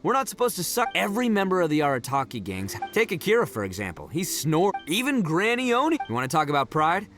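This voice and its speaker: Young Oni gang leader, boisterous, big-hearted, widely swinging pitch, game dialogue over music. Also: male voice, huge pitch swings, quick pace, few pauses, a bit bright. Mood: breezy, reassuring. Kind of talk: dramatic English game voice acting.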